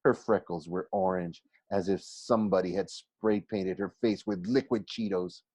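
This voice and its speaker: A man reading a children's novel aloud like a teacher to a class, expressive but halting, often going back over phrases. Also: low-pitched, slightly rough, no room sound. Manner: halting, stumbles and rereads phrases.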